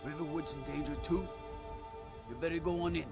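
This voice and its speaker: A man's voice: fairly high pitch, steady tone, brisk, loud music behind the voice, lightly acted. A man playing an officious, wary city gate guard in a fantasy game, curt and authoritative. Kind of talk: fantasy video game dialogue.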